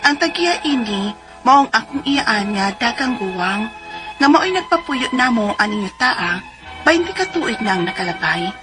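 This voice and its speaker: A woman's voice: on the high side, very animated, normal speed. An actress with a Cebuano accent playing a married mother in her forties who tells her story in a radio drama. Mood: earnest, narrating. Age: 40s. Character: Zenaida, 42-year-old married mother of two, letter sender. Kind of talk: radio drama acting and letter narration. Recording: music bed behind the voice.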